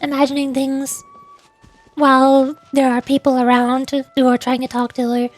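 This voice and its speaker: Female game streamer, high voice, casual live commentary. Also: completely flat delivery, normal speed. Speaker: VTuber streamer. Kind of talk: game livestream commentary with voice acting of dialogue.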